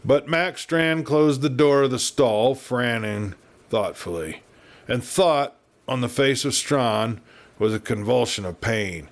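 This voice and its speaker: A man with an American accent reading a Western story as an audiobook narrator, in a measured, dramatic storytelling style. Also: mid-range voice, expressive.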